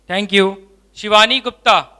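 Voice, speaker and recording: A man's voice: sits high, moderate pace, pushed and bright. A man hosting an orientation, briefly thanking each speaker and calling the next name. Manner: crisp, calls out names in turn. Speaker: orientation host calling students. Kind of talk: brief announcements.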